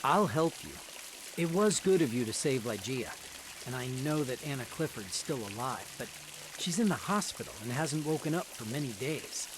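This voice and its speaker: A man's voice: medium pitch, animated, normal speed, noisy background, close to an everyday voice. A man playing a stern but loving father and upright judge, with a grave, dramatic delivery in a mystery game. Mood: stern, resolute.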